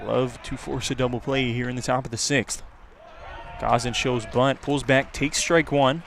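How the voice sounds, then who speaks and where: A man's voice: medium pitch, steady tone, moderate pace. A man giving live play-by-play baseball commentary as a radio announcer. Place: outdoors at a baseball stadium. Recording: clear outdoor ambient noise.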